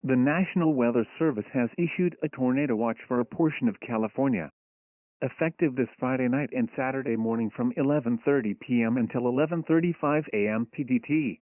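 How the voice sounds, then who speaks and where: A man's voice: mid-range voice, brisk, dry recording. Tinny synthetic male weather radio announcer. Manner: formal, impersonal synthetic reading. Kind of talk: scripted emergency weather bulletin.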